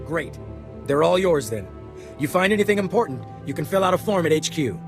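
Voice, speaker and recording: An actor playing a curt, bureaucratic army officer in a video game, official and dismissive. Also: male voice, a little high, big pitch swings, a little fast, over music.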